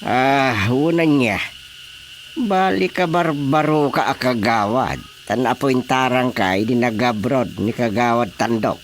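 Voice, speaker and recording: A man's voice: mid-range voice, unhurried, a little hiss or hum, lightly acted. A man with an Ilocano Filipino accent playing a boastful, self-important village chairman in a radio comedy drama. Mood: self-important planning. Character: boastful village chairman planning his grand birthday party.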